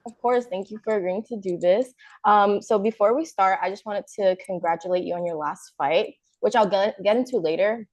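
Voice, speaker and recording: A woman's voice: fairly low pitch, dry recording. A woman hosting a casual interview, asking questions in a friendly, upbeat way.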